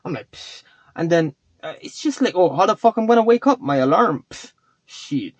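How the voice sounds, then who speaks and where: A man's voice: fairly high pitch, sing-song, normal speed, no room sound. A man whose first language is not English, rambling and swearing casually as he talks to his chat in a vlog. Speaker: vlogger. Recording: dull lo-fi sound.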